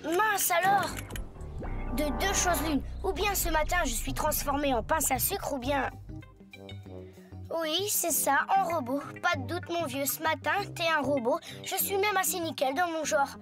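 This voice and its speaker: A woman voicing a lively, cheeky nine-year-old boy turned robot in a French children's cartoon, full of exclamations. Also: sits high, measured pace, over music. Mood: surprised.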